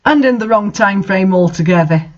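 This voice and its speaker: A man's voice: high voice, expressive, normal speed, dull audio. A man reading bad fan fiction aloud as host, dramatically, with mocking, exasperated and sweary commentary.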